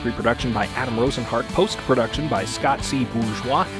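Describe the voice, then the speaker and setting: Plucked guitar music plays clearly behind a man with a mid-pitched voice. He delivers a scripted podcast outro as an announcer. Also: a little fast.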